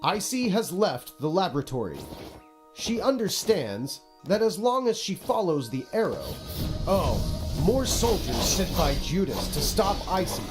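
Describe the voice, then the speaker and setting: A man's voice: high-pitched, very animated, a little slow, loud music behind the voice. A male actor playing a self-pitying, pushy game developer who narrates his own video game in a theatrical, pleading way. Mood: dramatic narrating. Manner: pleading, self-justifying, dramatic.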